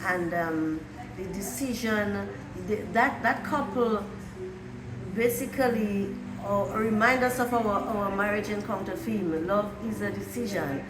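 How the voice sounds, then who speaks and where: A woman's voice: mid-range voice, unhurried, music in the background. A woman answering interview questions in a hesitant way, often repeating words. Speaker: interview guest, marriage encounter presenter. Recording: echoey room with clear background noise.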